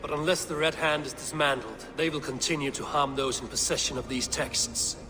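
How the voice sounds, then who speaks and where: An actor playing a bold, blunt young Viking warrior in a video game, with a commanding manner. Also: male voice, fairly high pitch, fairly quick, over music, lightly acted. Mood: measured, probing.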